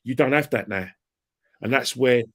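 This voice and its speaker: A man's voice: medium pitch, normal speed, creaky. A man, ranting in a heated casual chat about football fandom and trading colourful insults. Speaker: fan channel guest.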